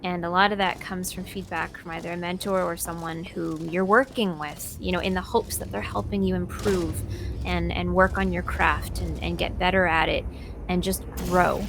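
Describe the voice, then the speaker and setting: Relaxed woman talking over faint noise. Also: on the low side, normal speed, gravelly. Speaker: voice actor being interviewed. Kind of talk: casual, relaxed conversation.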